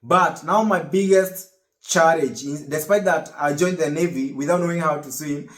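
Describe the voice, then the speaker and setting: A man's voice: a little high, normal speed, reverberant. A young man from Kenya with a Kenyan accent and Kikuyu as his first language, giving an encouraging talk to camera in a rambling way that repeats and restarts phrases. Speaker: vlogger. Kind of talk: casual motivational talk to camera.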